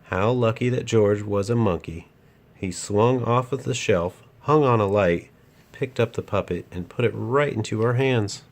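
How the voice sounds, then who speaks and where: A man's voice: fairly low pitch, a little slow, vocal fry. A man reading a children's picture book aloud as a storyteller and host.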